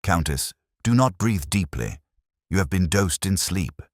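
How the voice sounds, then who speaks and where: Incisive male detective read by a male narrator, cryptic and terse, bass register, close dry sound. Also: animated, no echo. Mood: stern, commanding. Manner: brisk, terse, commanding. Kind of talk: audiobook character acting.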